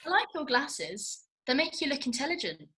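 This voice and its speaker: A woman acts out a cheeky, blunt young woman in a screen comedy read-through. Her voice is bright and highish, with very lively swings in pitch as she teases. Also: moderate pace, full character voice. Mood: teasing. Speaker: actor in a script read-through. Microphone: video call.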